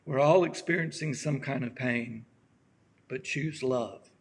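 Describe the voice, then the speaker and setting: A raspy-voiced man preaches at a very unhurried pace, with long pauses between his phrases. His delivery is gentle and halting, and his throat sounds worn by allergies. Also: medium pitch. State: allergies acting up. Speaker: pastor. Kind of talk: slow reflective sermon.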